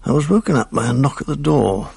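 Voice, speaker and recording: Worn-out boozy english producer, husky and close-miked dry sound, rueful rambling radio play monologue. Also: male voice, mid-range voice, animated, fast talker, darkish tone, no room sound, exaggerated voice. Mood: wry, reminiscing. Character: weary, hard-drinking, self-pitying male TV producer. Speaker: lead actor and narrator. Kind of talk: confessional radio drama monologue.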